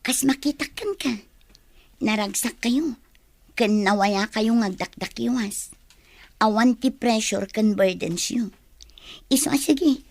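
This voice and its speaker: A woman's voice: fairly high pitch, takes it slowly, pauses often, dry recording. A woman playing a headstrong, resentful young student in an Ilocano radio drama, speaking with defiance. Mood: weary, resolved. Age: young adult.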